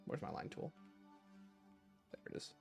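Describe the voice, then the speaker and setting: A man's voice: measured pace, faint music behind. A man hosting a livestream, reading out chat questions and answering them informally while thinking aloud. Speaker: livestream host.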